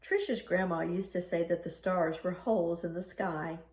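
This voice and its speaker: A woman reading a children's picture book aloud in an expressive storytelling style. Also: on the low side, normal speed. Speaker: storybook reader. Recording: roomy, echoing room sound.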